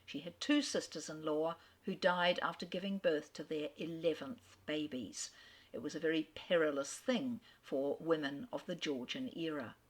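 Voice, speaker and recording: Middle-aged woman, literary speaker, fairly low, measured storyteller with dry wit. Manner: clear, measured storyteller with wry asides. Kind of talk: recorded talk on an author.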